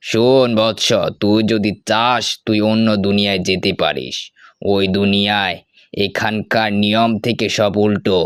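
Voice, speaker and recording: A man plays a scheming hermit king for a cartoon fable. The voice is low and smooth, recorded dry. He speaks grandly, like a guru who is used to being obeyed. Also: fairly even intonation, measured pace, no echo, natural voice. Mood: coaxing, avuncular. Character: sly male hermit who is secretly an island king. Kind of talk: animated story character acting.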